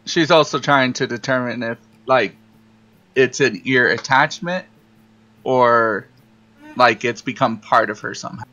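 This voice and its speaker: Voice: medium pitch, unhurried, sharp tone. Tabletop player on a dull lo-fi mic. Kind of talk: casual third-person roleplay narration. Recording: dull lo-fi mic, faint music behind. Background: faint music.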